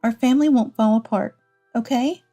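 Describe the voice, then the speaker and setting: An earnest, wounded wife and mother, acted by a woman narrator, speaks pleadingly in a story drama. The voice is fairly high and soft-toned. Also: normal speed, soft background music, natural voice. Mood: soothing. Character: adult wife and mother, hurt but protective.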